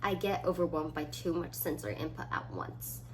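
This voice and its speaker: Young woman vlogger, lively swings, noisy recording. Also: low voice, brisk. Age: about 20. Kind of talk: casual solo chat, reading quiz items aloud and answering. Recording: clearly audible background noise.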